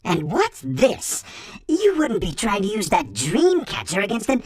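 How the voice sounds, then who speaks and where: A woman voicing a sly, theatrical flower villain in a cartoon video game, taunting in a drawn-out, mock-sweet way. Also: mid-range voice, wildly swooping pitch.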